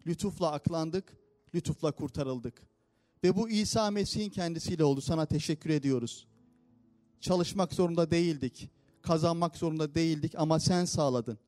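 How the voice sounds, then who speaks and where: A man whose first language is Turkish, speaking English with a Turkish accent as he leads communion in church with an earnest, simple, unhurried manner. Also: a little high, a little mellow, soft background music. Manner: earnest, simple phrasing with pauses. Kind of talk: communion meditation and prayer. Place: church service.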